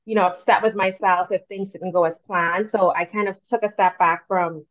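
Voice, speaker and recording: A woman from the Bahamas, in her thirties, speaking as a career coach guest in a relaxed, thoughtful way with frequent fillers. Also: fairly low pitch, normal speed. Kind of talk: casual interview talk. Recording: dull lo-fi sound.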